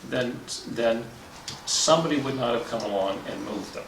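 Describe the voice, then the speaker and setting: A man with a gravelly, mid-pitched voice talks through official business in a halting, matter-of-fact way. The sound is roomy, with distinct noise behind him. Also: a bit bright. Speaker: town selectman. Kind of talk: unscripted meeting discussion. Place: indoor town board meeting room. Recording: roomy, distant mic, noisy with clicks.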